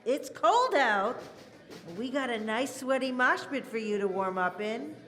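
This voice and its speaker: A woman's voice: a little high, expressive, unhurried, fairly bright tone. A woman playing a brash, bossy rock club emcee in an audio drama, commanding and teasing as she announces from the stage. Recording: club crowd and music behind. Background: crowd and music.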